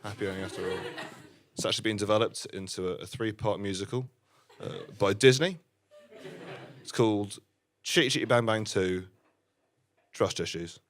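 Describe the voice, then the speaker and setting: A man performing live musical stand-up on stage, talking in an awkward, understated, halting way. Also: fairly low pitch, noisy background. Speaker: musical comedian with keyboard. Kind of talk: live stand-up with spoken comic songs. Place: live stage before an audience. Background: keyboard music.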